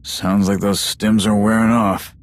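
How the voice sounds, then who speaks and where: An actor playing a tough, hot-headed warrior in a video game, speaking in a blunt, threatening way. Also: male voice, fairly low pitch, little pitch movement, measured pace, natural voice. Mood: menacing, blunt.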